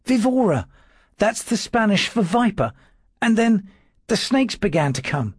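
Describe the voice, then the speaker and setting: A man voicing a timid, frightened male secretary who stammers in a high, strained voice. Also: sing-song, a little slow, husky, heavily acted. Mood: nervous.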